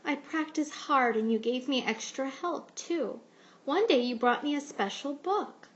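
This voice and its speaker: A woman reading a picture book aloud like a teacher, with expressive, measured storytelling. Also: high voice, dull audio. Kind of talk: storybook read-aloud narration.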